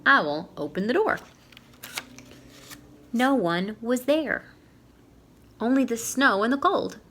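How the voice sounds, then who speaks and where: A woman's voice: fairly high pitch, sing-song, takes it slowly, a bit bright. A woman reading a storybook aloud to young children in a teacherly way, putting questions to her listeners.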